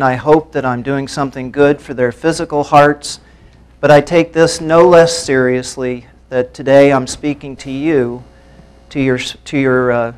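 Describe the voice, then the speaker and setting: A middle-aged man preaches with a smooth, resonant voice at a middle pitch. He speaks in an earnest, measured way, pausing now and then. He sits close to a lapel mic in a church hall. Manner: earnest, measured, slightly hesitant. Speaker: guest speaker. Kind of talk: sermon.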